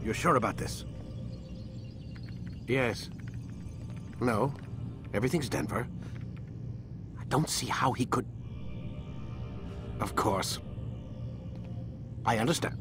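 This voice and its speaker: An actor playing a cold, domineering older scientist in a video game, with clipped, commanding speech. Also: male voice, mid-range voice, lively intonation, slow, pauses often, over music. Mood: clipped, menacing. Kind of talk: naturalistic video game dialogue.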